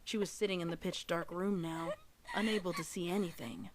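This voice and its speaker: A woman's voice: on the low side, steady tone, fairly quick, noisy background, close to an everyday voice. A woman reading a horror story as a narrator, in a dramatic scripted style. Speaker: narrator of a fan fiction audio reading. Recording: music behind the voice. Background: music.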